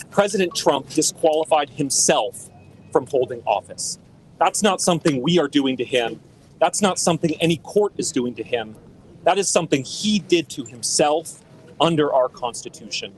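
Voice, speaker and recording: A man giving a formal, measured press statement outdoors. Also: medium pitch, a little hiss or hum, low-quality recording. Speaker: lawyer or spokesman at a press conference. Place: outdoors on a city street.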